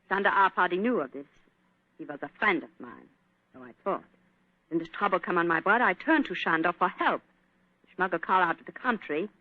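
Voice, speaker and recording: A woman's voice: mid-range voice, no room sound, lightly acted. An actress plays a frightened young Viennese pianist, a woman whose English comes out broken and pleading, bent by a German accent. The sound is dry and narrow, like an old radio broadcast. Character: young female Viennese concert pianist, anxious. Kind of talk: 1940s radio acting.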